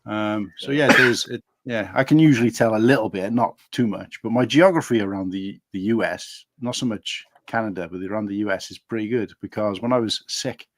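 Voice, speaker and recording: A man's voice: fairly low pitch, a little fast, few pauses, dry recording. A man from Wales with a Welsh accent, chatting casually as a guest on a livestream, hesitant and rambling. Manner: rambling, hesitant with fillers and restarts.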